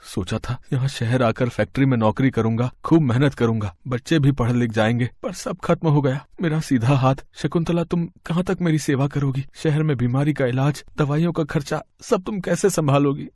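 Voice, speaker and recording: A man's voice: medium pitch, moderate pace, few pauses, darkish tone, dry recording, close to an everyday voice. A man playing a poor, weary farm labourer and family man in a moral story, earnest and plaintive. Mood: despairing. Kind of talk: Hindi moral story voice acting.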